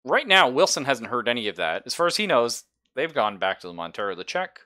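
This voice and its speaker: A man's voice: mid-range voice, sing-song, a little fast, bright, forceful tone. A man narrating a tabletop roleplaying game as game master in a casual, informal, sweary way. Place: online game session, streamed.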